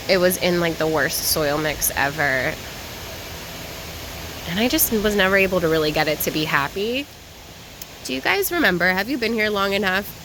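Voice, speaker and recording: A chatty woman talks through her walk around a garden center, naming plants and prices as she browses. She sounds worn out and slightly raspy from too little sleep. A steady hiss sits under her voice on the handheld recording. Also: on the low side. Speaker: plant vlogger. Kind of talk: casual walk-and-talk vlog. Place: garden center shop floor.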